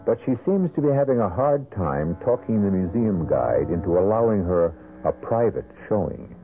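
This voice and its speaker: A man playing the host of a 1970s radio mystery, narrating in a measured, theatrical, ominous way. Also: low voice, sing-song. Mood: ominous, wry. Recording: muffled old radio with music behind. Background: music.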